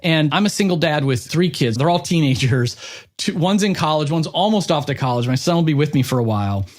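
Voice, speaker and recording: A man's voice: fairly high pitch, lively intonation, brisk. A middle-aged man hosting an interview in a casual, chatty, informal way. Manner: chatty, self-referential, informal with frequent 'like' and 'you know'. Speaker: podcast interviewer, host.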